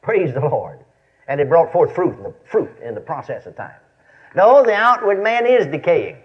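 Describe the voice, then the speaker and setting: A man's voice: on the high side, sing-song, moderate pace. A man in his fifties with a Texan accent preaches a folksy, storytelling sermon, repeating phrases for emphasis and checking in with his listeners. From Texas, United States. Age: 50s. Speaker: preacher and Bible teacher. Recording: dull, lo-fi sermon recording.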